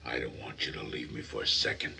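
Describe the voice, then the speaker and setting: An actor playing a middle-aged businessman and suitor, persistent and measured, reasoning persuasively in a radio drama. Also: extremely low pitch, level tone, gravelly, heavy background noise, exaggerated voice. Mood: intimate, wooing.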